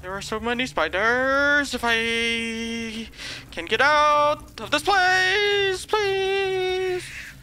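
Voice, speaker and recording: A man's voice: very high voice, very slow, sharp tone, slight background noise. A man reacting live to a video game, jumpy and exclaiming, often panicky and rambling. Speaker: gameplay streamer.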